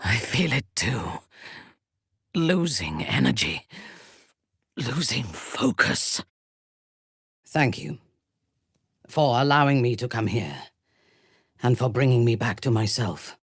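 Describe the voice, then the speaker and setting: A woman voicing a haughty, ruthless drow warrior in a fantasy video game, husky, measured and imperious. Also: very deep voice, expressive, no room sound, studio quality, full character voice. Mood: low, intense.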